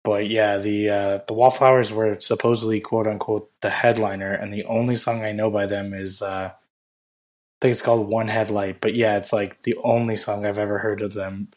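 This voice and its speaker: A man co-hosting a podcast in casual chat, hoarse from losing his voice, rambling with many fillers. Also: lowish voice. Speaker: podcast co-host.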